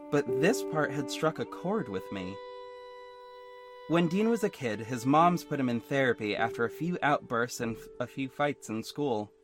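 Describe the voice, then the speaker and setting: A man's voice: mid-range voice, lightly acted. A man plays a young superhero narrating an audio drama, confiding and a little sardonic. He broods frankly over his own story, with music underneath. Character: young adult male superhero narrator, hurt, introspective, sardonic. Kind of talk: first-person audio drama narration with dialogue. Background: instrumental music.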